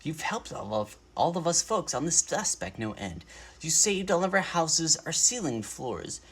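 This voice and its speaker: Guy putting on a teeny polite mayor from a kids book, super grateful, kinda husky, bouncy pitch. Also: very animated, a little slow, fairly bright tone, exaggerated voice. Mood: grateful, proud. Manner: courteous, grateful. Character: tiny polite grateful mayor of speck-sized people.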